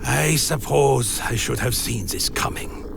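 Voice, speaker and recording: A man playing an anxious vampire who recounts his own dark tale in a dramatic radio play. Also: medium pitch, a little slow, vocal fry, noisy background. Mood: tense, recounting. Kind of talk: first-person dramatic narration, radio play.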